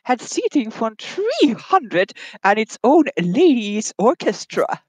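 A woman's voice: on the high side, big pitch swings, slow, darkish tone, breathy edge, no echo, low-quality recording. Woman reading aloud in playful mock accent. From England. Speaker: guest reader. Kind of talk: reading a historical text aloud in a put-on voice.

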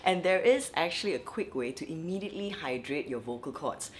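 A young woman vocal coach, from Malaysia, giving lively, chatty tips in a vlog while her voice is dry and scratchy. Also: sits low, fairly quick. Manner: lively, chatty, many fillers. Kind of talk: instructional vlog.

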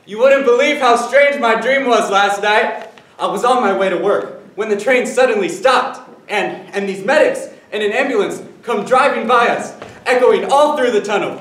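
A man's voice: sits high, normal speed, a bit bright. A young man playing a chatty 1960s sitcom husband in an exaggerated stage comedy style. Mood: chatty, excited. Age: young adult. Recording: echoey theatre stage from far off, noisy.

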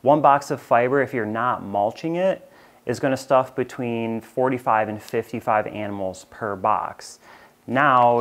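Man, thirties, american accent, steady clear explainer, lapel mic, studio. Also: medium pitch. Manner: clear, informative, steady presenting. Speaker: company presenter. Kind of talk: product explainer. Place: bright studio with shelves of plush toys.

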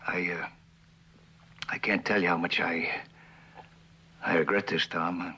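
A middle-aged man playing a guilty, conscientious company man in a 1960s television drama, with a halting, understated delivery. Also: deep voice, flat delivery, pushed and bright, husky, full character voice. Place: indoor living room set.

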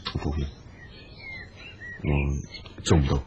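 A man's voice: extremely low pitch, completely flat delivery, slow pace, warm tone, dull audio, slight background noise. A man playing an earnest, persistent businessman in a radio drama. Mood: apologetic, gentle. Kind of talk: Cantonese radio drama acting. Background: music.

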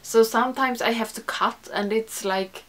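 A woman's voice: a little high, steady tone, moderate pace, fairly bright tone. A young woman talks straight into her camera from a small room lined with makeup shelves. She speaks English as a second language and her talk is casual and rambling. She often stops mid-sentence and starts it over. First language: not English. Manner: rambling, self-correcting, frequent "like". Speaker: beauty YouTuber. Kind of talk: casual chat to camera, answering a tag.

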